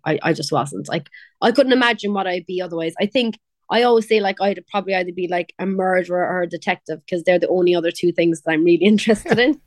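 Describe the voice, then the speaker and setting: A woman's voice: fairly low pitch, no room sound, clean studio sound. A young woman from Cork with a Cork Irish accent, chatting casually as an interview guest, laughing and quoting others. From Ireland. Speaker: pop singer, guest.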